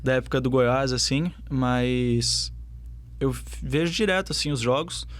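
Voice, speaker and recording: A man's voice: mid-range voice, unhurried, no room sound. A young man with a southern Brazilian accent, a footballer answering interview questions casually, with many fillers and restarts. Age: young adult. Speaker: footballer guest.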